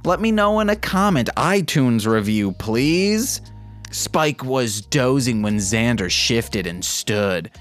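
A man with a medium-pitched voice hosts a podcast, reading fan fiction aloud at a slow pace. He keeps stepping out of the text for casual, irreverent remarks addressed to his listeners. Faint music plays underneath. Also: very animated. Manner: irreverent, joking asides to listeners. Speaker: podcast host.

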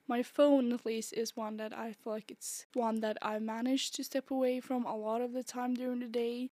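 A woman's voice: a little high, a little mellow, dry recording. A woman hosting a solo podcast, talking casually and rambling with fillers, her nose stuffy from a cold. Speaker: podcast host.

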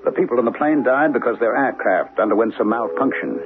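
A man's voice: mid-range voice, animated, fairly quick, background noise, close to an everyday voice. An actor playing an anguished man haunted by his own survival, brooding and insistent in vintage radio drama style. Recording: muffled old radio recording, music behind.

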